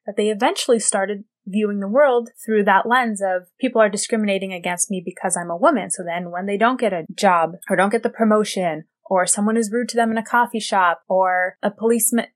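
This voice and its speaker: A soft-spoken woman delivering a solo opinion commentary in a measured, reflective way. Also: mid-range voice, fairly even intonation.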